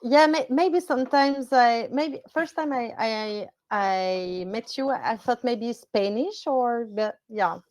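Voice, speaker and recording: A woman with a French accent hosts a live webinar over a video call, her voice on the higher side. She talks slowly, in a relaxed and friendly way. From France. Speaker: webinar host.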